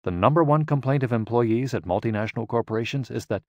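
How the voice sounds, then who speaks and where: A man reading a scripted audiobook in a measured, clearly phrased, explanatory manner. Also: medium pitch, darkish tone, no echo. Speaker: audiobook narrator.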